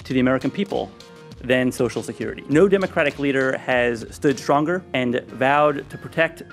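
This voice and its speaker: A man speaking for an advocacy group, giving a formal press conference statement in a measured, deliberate way. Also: mid-range voice, faint music behind.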